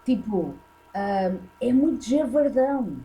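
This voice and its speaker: A woman's voice: a little high, lively intonation, slow, warm tone, poor audio. A woman with a European Portuguese accent, hosting a casual reaction video and giving blunt, opinionated commentary full of fillers.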